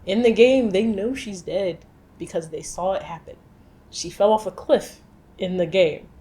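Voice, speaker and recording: A woman chatting casually and with strong opinions as co-host of a film review show, in animated, slangy speech with some swearing. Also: medium pitch, a little slow.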